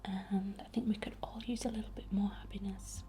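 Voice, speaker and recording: Whispering woman in soft ASMR, background noise. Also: mid-range voice, steady tone. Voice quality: breathy whisper. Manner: gentle, unhurried, chatty asides. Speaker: ASMR host. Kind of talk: whispered ASMR, guiding a healing spell.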